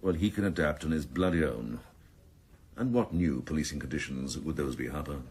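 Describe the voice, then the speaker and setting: A senior police detective in a radio play, very low in pitch and somewhat husky. He is cynical and scheming, laying on dry mock courtesy with a sneer. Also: a little fast, a little mellow. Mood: scornful. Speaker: actor in radio drama. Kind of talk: 1990s radio drama acting.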